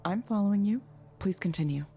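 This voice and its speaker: An actress playing a polite, composed woman counsellor in a video game, measured, neutral and professional. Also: mid-range voice, animated, warm tone, faint music behind, natural voice. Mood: attentive, neutral. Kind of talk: visual novel game voice acting.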